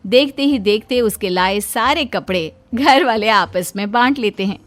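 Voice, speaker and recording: A woman narrating a scripted family story in an expressive storytelling manner. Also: medium pitch, normal speed.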